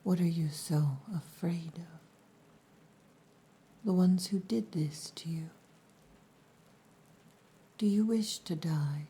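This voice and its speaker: A woman playing a possessive, gruff yet tender orc chieftain's daughter in an ASMR roleplay, breathy and often whispering, with a deliberate, dominant, teasing manner. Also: fairly low pitch, dark tone. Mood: grumpy, probing.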